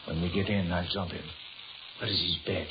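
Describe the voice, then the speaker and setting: An actor playing a tough, greedy, bullying gangster in 1930s radio drama, gruff and full of slang. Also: male voice, deep voice, fairly even intonation, a little fast. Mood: menacing, bossy. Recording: muffled, noisy 1930s radio recording.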